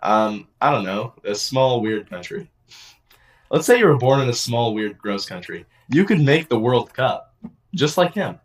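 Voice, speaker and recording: A man's voice: mid-range voice, expressive, a little slow. A man casually reacting to a football video, joking and mock-bragging. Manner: joking, mock-boastful, playful asides.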